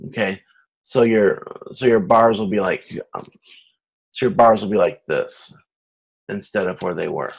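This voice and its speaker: A man's voice: fairly low pitch, a little slow, creaky, pauses often, breathy edge, low-quality recording. A man lecturing informally to a class, explaining step by step and often repeating and rephrasing himself as he goes. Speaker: university course lecturer.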